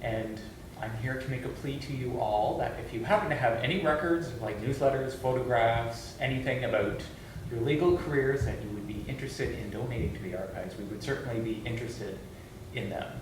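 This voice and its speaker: A man speaks politely and in an orderly way in a mid-range voice. He is far from the mic in a very echoey room with steady hiss. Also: mid-range voice, a little fast. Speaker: archivist. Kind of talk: roundtable introduction. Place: meeting room roundtable.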